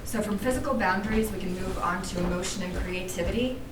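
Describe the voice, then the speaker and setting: A woman leading a workshop, speaking gently and invitingly to a group, with fillers. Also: mid-range voice, quick pace. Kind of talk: guided workshop talk with meditation. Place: room with a live audience. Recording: roomy, distant mic with room noise.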